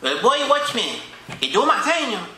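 A man's voice: extremely high pitch, sing-song, moderate pace, sharp tone, reverberant, a little hiss or hum, heavily acted. A man playing a fussy, scolding Caribbean taxi driver with a Vincentian accent and heavy island slang. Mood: grumpy. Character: grumpy Caribbean male taxi driver answering fan questions.

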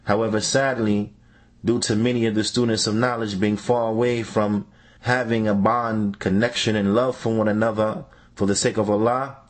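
A man reading a translated religious teaching aloud, phrase by phrase with deliberate breaks. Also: on the low side, level tone.

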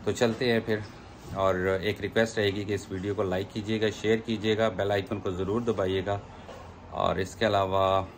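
Deep-voiced Pakistani man vlogging casually. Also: little pitch movement, slight background noise. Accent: Urdu-speaking, Pakistani. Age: middle-aged. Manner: chatty, unhurried, many fillers and asides. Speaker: travel vlogger. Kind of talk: casual travel vlog narration. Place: indoors by a plain wall, then driving on a highway. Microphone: phone camera at arm's length.

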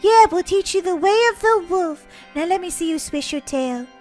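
A woman's voice: extremely high pitch, animated, normal speed, a little mellow, faint music behind. A woman voicing a friendly, reassuring young female wolf in a childlike game roleplay.

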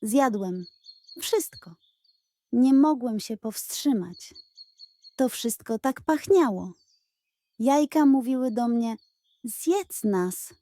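A woman's voice: fairly high pitch, animated, takes it slowly, long pauses, warm tone, no echo. A woman voicing a gluttonous, sheepish male pug who makes dramatic, pleading excuses in a children's bedtime audio story. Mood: sheepish confession.

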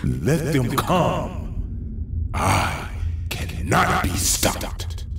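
An actor playing a menacing, power-hungry monster in an audio horror drama, speaking slowly and pompously. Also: male voice, medium pitch, wildly swooping pitch, a bit bright, full character voice. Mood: defiant anger. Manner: slow, pompous, grandiose. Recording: echoey, distant, faint noise.

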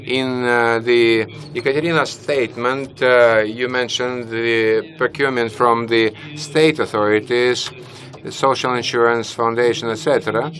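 A man commenting from the audience at a conference, holding a handheld mic and deliberately speaking lower than his natural high voice. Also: steady tone, a bit bright. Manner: measured, with fillers. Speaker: audience member. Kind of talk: question from the floor. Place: conference hall, standing among the audience. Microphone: handheld microphone.

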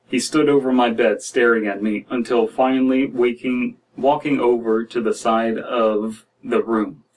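Wry man, distant from the mic. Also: mid-range voice. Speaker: podcast host reading and reacting to horror stories. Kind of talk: casual commentary and story reading. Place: small dim room indoors.

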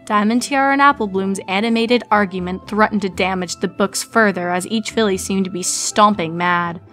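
A woman's voice: mid-range voice, normal speed, fairly bright tone, soft background music. A woman narrator reading a scripted story expressively.

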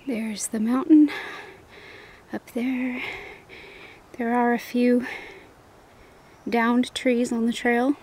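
A woman's voice: on the high side, flat delivery, very slow, long pauses, a little mellow, slight background noise. A middle-aged woman narrating her mountain hike to a handheld camera outdoors, often dropping to a near whisper. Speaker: hiker filming a vlog. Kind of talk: casual spoken trail narration.

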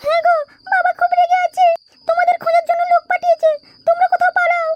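Woman acting a very high-pitched, anxious young woman. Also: completely flat delivery, fast talker, heavy background noise. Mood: urgent warning. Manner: urgent, pleading. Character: anxious young woman warning friends about her father. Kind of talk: amateur comedy cartoon dub.

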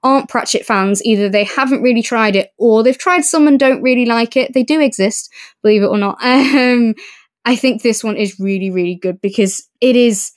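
A woman's voice: fairly high pitch, moderate pace, no room sound, clean studio sound. A woman co-hosting a podcast, chatting casually and effusively about books. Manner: enthusiastic, effusive. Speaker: podcast co-host. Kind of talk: casual book review discussion.

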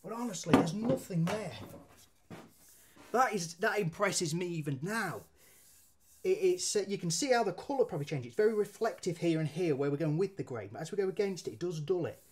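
A man's voice: high voice, animated, a little fast, slightly rough. A man, chatting casually as he demonstrates woodworking at a bench in his workshop, swearing now and then and using local slang. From England. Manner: talks while handling and planing wood at a bench. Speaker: woodworking teacher. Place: indoor workshop with brick walls.

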